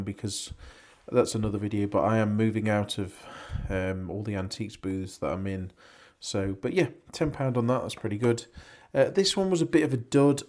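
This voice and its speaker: An English man, hoarse and losing his voice, chatting casually in a rambling, self-correcting way about antiques he picked up. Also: on the low side, a little mellow. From England. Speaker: antiques reseller vlogger. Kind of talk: casual vlog chat.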